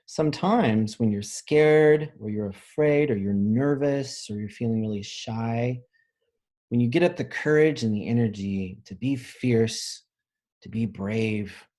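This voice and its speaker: A man reads a children's picture book in a slow, deliberate way. He puts expression into the lines, then steps out of the text to explain things simply, as a teacher does with young pupils. His voice sits at an ordinary male pitch. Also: darkish tone. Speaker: elementary school teacher reading aloud.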